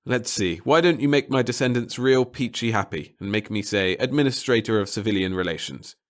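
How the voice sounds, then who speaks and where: A man's voice: on the low side, fairly quick, natural voice. A man voicing a cynical, bored newspaper reporter in an audiobook, with a curt, offhand, sardonic delivery. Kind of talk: audiobook character acting.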